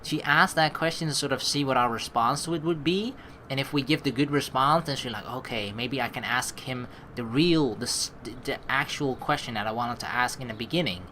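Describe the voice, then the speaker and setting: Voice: mid-range voice, brisk, bright, forceful tone, slight background noise. A let's play host from the United States, reading a visual novel aloud and rambling through running commentary over game music. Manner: rambling, thinks aloud, restarts and asides.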